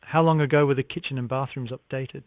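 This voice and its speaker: A man's voice: medium pitch, fairly even intonation, quick pace, no room sound. A man working as a real estate phone agent, speaking politely in a scripted sales-call style with formulaic acknowledgements. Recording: narrow, tinny phone line.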